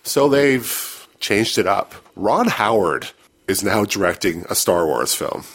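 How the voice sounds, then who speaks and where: A man's voice: lowish voice, animated, takes it slowly, a bit bright, breathy edge. A man from Canada, a little sick and congested, co-hosting a casual movie podcast in a laid-back, slangy, rambling way. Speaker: podcast co-host. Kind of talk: casual chat.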